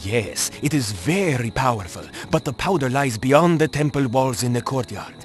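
A male actor voices a conspiratorial bazaar trader from an adventure game. He is eager and theatrical, his pitch bouncing widely over soft background music. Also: animated, normal speed, close to an everyday voice. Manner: theatrical, obliging. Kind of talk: video game acting.

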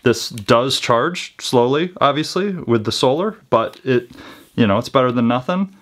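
A man's voice: mid-range voice, expressive, measured pace, fairly bright tone. A man in his thirties giving a casual product review to camera indoors, chatty and informal, often checking in with the listener. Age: 30s. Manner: informal, conversational, checks in with "right?". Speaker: host of a preparedness gear channel. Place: small indoor room with built-in shelves.

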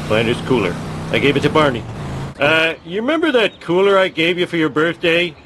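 A man's voice: a little high, lively intonation, fairly quick, heavy background noise, close to an everyday voice. A man voicing a dim, whiny, lazy middle-aged dad who complains childishly in a cartoon video game. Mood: anxious, grumbling.

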